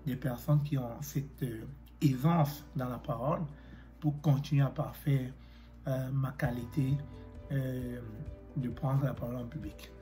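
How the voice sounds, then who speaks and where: A man with a cold talking to his viewers about fear of public speaking, pausing often with fillers and clearing his throat. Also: medium pitch, steady tone, dark tone, soft background music. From Africa. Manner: hesitant, many fillers, direct address. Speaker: YouTuber. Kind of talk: motivational talk to camera.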